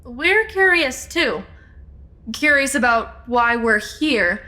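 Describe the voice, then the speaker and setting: A woman's voice: high voice, very animated, slow, bright, forceful tone, full character voice. An actress playing a curious young woman guest in an audio drama, polite, questioning and direct. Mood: curious, wary.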